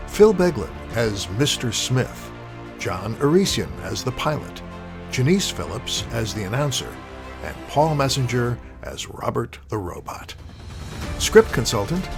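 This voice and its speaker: Male host, scripted narration, medium pitch, animated delivery, prominent music bed. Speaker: host and announcer. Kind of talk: scripted narration, show outro.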